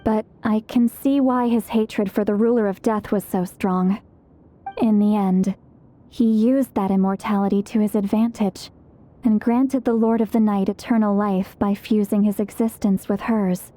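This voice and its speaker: An actress playing a composed, thoughtful female warrior in a video game, measured and respectful. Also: medium pitch, fairly even intonation, dark tone, lightly acted. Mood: sober respect. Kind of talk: dramatic video game acting.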